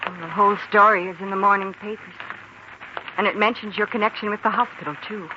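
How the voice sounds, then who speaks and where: A woman's voice: medium pitch, fairly even intonation, fast talker, close to an everyday voice. An actress playing a troubled, doubting young woman in a 1940s radio melodrama, hesitant and earnest. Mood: concerned. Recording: muffled, hissy old radio recording.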